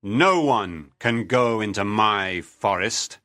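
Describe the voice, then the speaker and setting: A man's voice: lowish voice, lively intonation, slow pace, bright, forceful tone, dry recording, clean studio sound, heavily acted. A man voicing a cruel, greedy medieval lord in a storybook reading, speaking in a harsh, commanding way.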